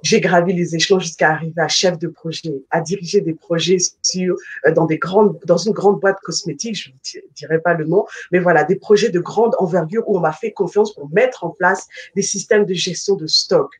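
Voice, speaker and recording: A middle-aged woman from the Congo introduces herself as a life coach over a video call. Her voice is slightly husky. She speaks fluently and earnestly, with a devout tone, through the webcam mic. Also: fairly low pitch, normal speed, hardly any pauses. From Republic of the Congo. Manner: earnest, faith-filled, fluent testimony. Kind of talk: guest self-introduction. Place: home room, video call.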